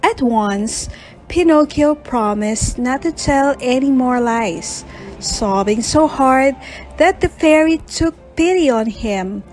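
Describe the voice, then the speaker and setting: Slow, high-pitched woman reading a bedtime story. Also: lively intonation, darkish tone, faint music behind, rough recording.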